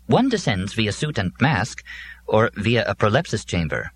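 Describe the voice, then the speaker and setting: A man's voice: fairly low pitch, sing-song, moderate pace, a bit bright, slight background noise, pitched above the performer's own voice. A man voicing a dour, scornful robot servant in a science fiction audiobook, making formal, ominous pronouncements. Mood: formal, obliging.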